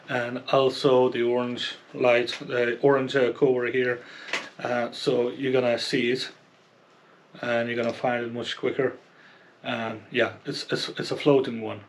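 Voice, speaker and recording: A man giving a casual, unscripted product review as a presenter, explaining haltingly and often checking in with a questioning okay. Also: mid-range voice, little pitch movement, a little hiss or hum. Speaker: fishing channel presenter reviewing gear.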